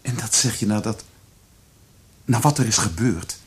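An actor playing an anxious, reproachful older brother in a radio drama. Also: male voice, lowish voice, unhurried, husky. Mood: troubled. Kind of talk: Dutch radio drama acting.

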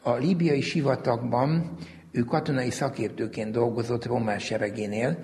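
Male researcher, mid-pitched, formal and precise, recorded with long reverb. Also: steady tone, a little mellow. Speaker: researcher interviewee. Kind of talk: detailed historical explanation.

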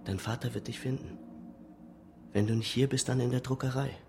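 An actor playing a blunt, earnest young man in a radio drama, with a low, husky voice. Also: steady tone, fairly quick, music in the background, lightly acted. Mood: urgent, pleading. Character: blunt, urgent young man pleading with a woman.

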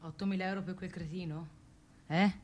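Blunt, bitter Tuscan widow played by an actress. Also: female voice, lowish voice, steady tone, brisk, darkish tone, close to an everyday voice. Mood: scornful, indignant. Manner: blunt, sarcastic, insulting. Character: bitter, scornful widow railing at a family friend. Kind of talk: naturalistic radio theatre acting.

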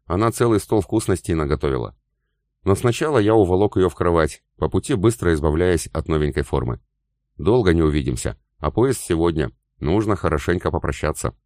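Man, deep voice, dry close recording, audiobook narration, steady pace. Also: a little fast, no echo. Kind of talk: first-person scripted narration.

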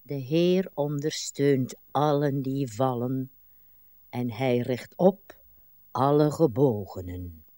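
An actress playing a weary, plaintive old wife in a radio drama, by turns murmuring and insistent. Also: female voice, extremely low pitch, warm tone, no echo, studio quality. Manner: slow, theatrical, swinging between murmurs and cries. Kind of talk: broadcast radio drama acting.

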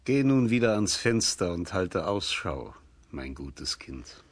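An actor playing a frail old blind tsar in a children's radio play, speaking solemnly with a husky, weak voice. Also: male voice, low-pitched, sing-song, takes it slowly, no room sound, full character voice. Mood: solemn, yearning. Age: older. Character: old, blind, sick, wise tsar and father.

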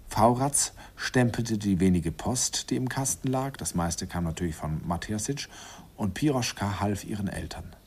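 An actor narrating a radio play as a grown man fondly recalling his youth, softly and wistfully, sometimes whispering. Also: lowish voice, animated, moderate pace, slight background noise.

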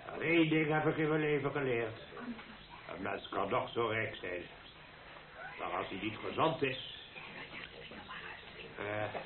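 A man's voice: fairly low pitch, natural voice. A man playing a folksy, jovial older father of the bride giving a rambling, self-deprecating wedding speech in a radio play. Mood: sentimental. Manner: rambling, self-deprecating, hesitant public speaker. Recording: tinny band-limited old radio play, echoey crowded hall.

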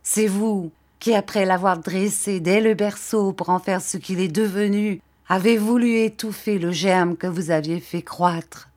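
A woman's voice: medium pitch, fairly even intonation, unhurried, few pauses, a little mellow, natural voice. A woman reading a storybook, voicing a bitter scarred woman in passionate fury, with imperious, accusing commands and reproaches. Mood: fierce reproach. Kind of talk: dramatic audiobook acting of a classic novel.

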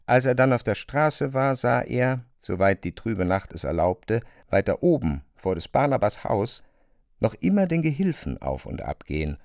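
A man reads literary narration for a radio play. His mid-pitched voice is measured and articulate but moves in lively contours. The sound is very dry. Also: gravelly, no echo.

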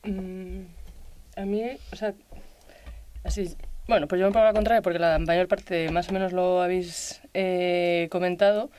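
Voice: medium pitch, fairly even intonation, measured pace, a little mellow, clean tone. A guest on a radio round table, thinking aloud in a reflective, hesitant way with many fillers. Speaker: discussion guest. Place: radio studio.

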